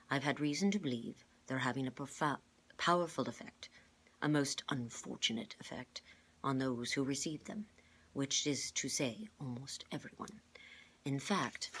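Woman reading as a grave, low-voiced male mentor. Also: breathy edge. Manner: measured, formal explaining.

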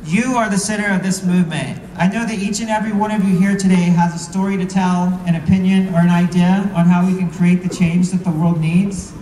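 High-voiced man orating, distant and echoey. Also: fairly even intonation, mellow. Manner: earnest, deliberate oratory. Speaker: rally speaker. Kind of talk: prepared speech at a rally. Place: outdoor rally, recorded from within the crowd. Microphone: PA heard from far camera. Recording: distant, echoey outdoor PA with noise.

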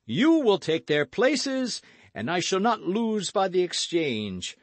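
A man's voice: high voice, very animated, takes it slowly, no room sound, pitched above the performer's own voice, heavily acted. A man voicing a boastful, hearty gourmand musketeer with a grand, exclamatory delivery in a storybook reading. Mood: lordly, hospitable.

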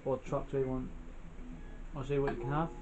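A man's voice: medium pitch, flat delivery, fast talker, dull audio. Young man chatting over game music. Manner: off-the-cuff, muttering to himself while playing. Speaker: gamer, channel host. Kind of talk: casual game playthrough commentary. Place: indoors, in front of a TV screen. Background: video game music.

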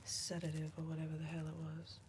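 A woman acting as a young backwoods niece in an ASMR roleplay, whispering in a lowish voice. She is dry, needling and scornful, close to the mic with clear hiss behind. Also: monotone, fairly quick, natural voice. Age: young adult. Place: dim indoor room, seated close to the mic.

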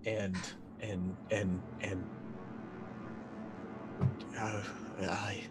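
Low-voiced, hesitant man at a game. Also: level tone, drawn-out words, over loud music. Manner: hesitant, thinking aloud. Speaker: tabletop roleplaying player. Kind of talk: casual game play, narrating his character's actions. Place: indoor room, online video call.

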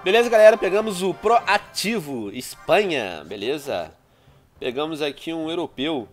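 Brazilian gaming commentator, man, slightly high pitch, nasal from a cold, soft music behind. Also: normal speed. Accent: Brazilian Portuguese. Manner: chatty, addresses viewers constantly, excitable outbursts. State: congested with a cold. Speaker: gaming YouTuber. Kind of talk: casual gameplay commentary. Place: small room at home, indoors. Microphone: headset.

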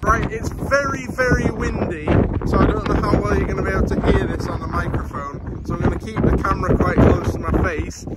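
A young man films himself at arm's length and talks through the day in a loose, chatty way. His voice sits a little high for a man. Loud wind roars over the phone mic throughout. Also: steady tone, a little fast, hardly any pauses. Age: young adult. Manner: chatty running commentary to camera, many fillers. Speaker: vlogger. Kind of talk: casual travel vlog. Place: outdoors on a windy coast and campsite. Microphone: phone held at arm's length. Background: loud wind noise.

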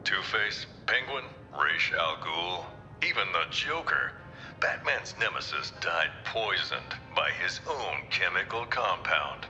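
An actor playing a dramatic documentary narrator in a video game, grave and punchy. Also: male voice, low-pitched, animated, takes it slowly, sharp tone, full character voice. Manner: grave, punchy announcer delivery. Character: dramatic male TV documentary narrator of villain histories. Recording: long hall reverb, faint music.

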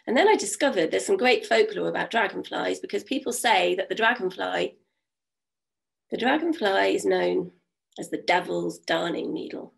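A woman in her fifties with a croaky voice from a cold, telling folk stories in a chatty way on a video call from home. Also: medium pitch, normal speed. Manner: warm, chatty, with many fillers. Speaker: storyteller. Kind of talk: oral storytelling. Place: home room. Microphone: video call webcam.

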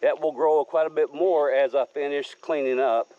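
A man's voice: on the high side, fairly even intonation, normal speed, warm tone, no room sound. A man rambling casually as he films a walk around his wooded homestead. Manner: easygoing, rambling, folksy. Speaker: homesteader showing his land. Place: outdoors on rural wooded land. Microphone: handheld camera. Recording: slightly dull outdoor camera audio. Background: crickets and insects.

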